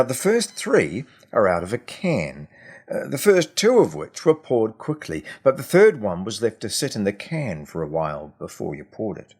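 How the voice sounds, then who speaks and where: A man's voice: lowish voice, husky, exaggerated voice. A man voicing a frank, exacting teenage boy in a story, firm and methodical. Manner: firm, matter-of-fact, methodical.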